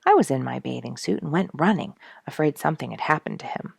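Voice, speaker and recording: A woman with a low, slightly creaky voice reads a story aloud. Her delivery is expressive and dramatic, like storybook narration, and the sound is close and dry. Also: quick pace, dry recording. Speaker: storyteller reading a book chapter aloud.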